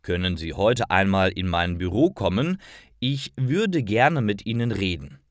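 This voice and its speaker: A man reading the part of a calm, courteous male company boss in a storybook. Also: sits low, a little slow, no echo, studio quality.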